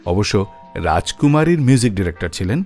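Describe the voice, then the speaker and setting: A man hosting a music radio show, telling scripted stories with dramatic pauses and phrase endings that trail off low. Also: big pitch swings, a little mellow.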